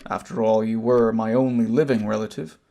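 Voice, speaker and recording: An amateur actor with an Irish accent playing a wronged older brother back from abroad, telling his story in a deliberate, pointed way. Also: fairly low pitch, steady tone, creaky, mellow. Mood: reflective, hurt. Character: wronged older emigrant brother, cancer survivor, measured, bitter. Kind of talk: amateur stage drama acting, long monologue.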